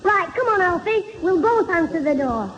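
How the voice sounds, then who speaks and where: A man's voice: very high voice, sing-song. A man playing a cheeky, boisterous young schoolboy with a Northern English accent in old radio sitcom style. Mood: sly, teasing. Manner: cheeky, quick-witted, insistent. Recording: muffled, noisy old radio recording.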